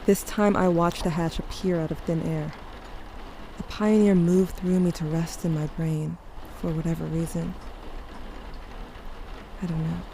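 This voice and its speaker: Audio drama lead: grieving teen narrator, played by a woman, lowish register, confessional, dry wit, noisy ambient backdrop. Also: dark tone. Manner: introspective, confessional, wry. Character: guilt-haunted, guarded teenage narrator grieving a dying loved one.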